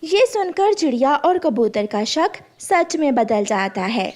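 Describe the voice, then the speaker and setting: A woman's voice: sits high, sing-song, a little slow, a little mellow, slight background noise. A woman telling a scripted children's story as its narrator.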